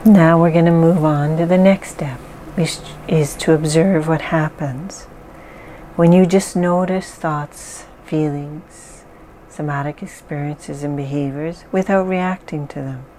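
A woman leading a guided mindfulness exercise, speaking calmly and softly with long pauses. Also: low-pitched, a little hiss or hum. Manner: slow, calm, soft-spoken guidance with pauses. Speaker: therapist guiding an exercise.

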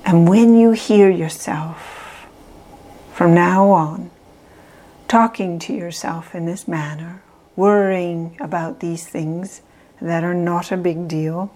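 A woman leading a guided self-help visualization in a calm, measured way with long pauses between phrases. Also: on the low side. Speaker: meditation guide.

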